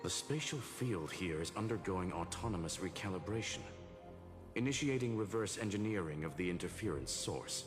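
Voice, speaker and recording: Deep, clinical analytical intelligence. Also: male voice, expressive. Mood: clinical, calm. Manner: formal, measured, clinical. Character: calm, analytical male machine-like intelligence, formal and precise. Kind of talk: acted video game dialogue. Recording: long reverb, music behind the voice.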